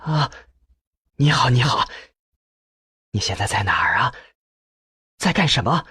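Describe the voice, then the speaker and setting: A man voicing an ailing elderly professor in an audiobook, with a weak, breathy, halting voice. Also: mid-range voice, very animated, pushed and bright, dry recording, studio quality, heavily acted. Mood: excited. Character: ailing elderly male professor, frail, eager about research.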